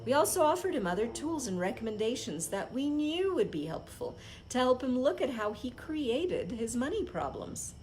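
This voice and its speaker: A woman reading a self-help book aloud as an impromptu live read-along host, phrasing it in short, deliberate groups. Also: on the high side, very animated, darkish tone, slight background noise.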